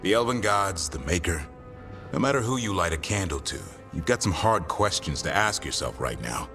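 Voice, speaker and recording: An actor playing a blunt, wry elf warrior in a fantasy video game, with dry, plainspoken delivery. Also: male voice, sits low, animated, normal speed, fairly bright tone, soft background music.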